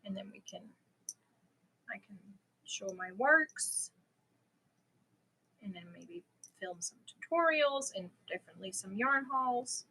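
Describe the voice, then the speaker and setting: Lady doing a casual livestream chat, bright forward voice, kinda nervous and giggly. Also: mid-range voice, expressive, takes it slowly, pauses often, husky, low-quality recording. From Germany. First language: German. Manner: chatty, giggly, slightly nervous. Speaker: crochet live stream host. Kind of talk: casual live stream chat.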